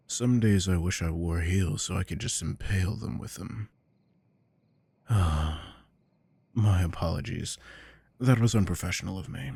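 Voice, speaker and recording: A man acting a weary, possessive, domineering office boss with a deep voice, in a scripted ASMR roleplay. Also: vocal fry, dark tone, husky, no room sound, exaggerated voice. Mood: weary, irritated, then softer, tired.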